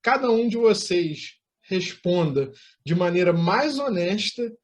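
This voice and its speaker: A man's voice: high-pitched, animated, takes it slowly, lo-fi sound. A man with a Brazilian accent hosting an online interview in formal, wordy phrasing. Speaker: interview host.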